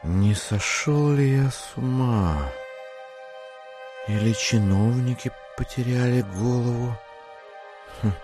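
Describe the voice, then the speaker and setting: An actor playing a perplexed, wary gentleman in an audio drama, speaking hesitantly and theatrically. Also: mid-range voice, takes it slowly, pauses often, music in the background, natural voice. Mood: bewildered. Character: bewildered, wary adult gentleman.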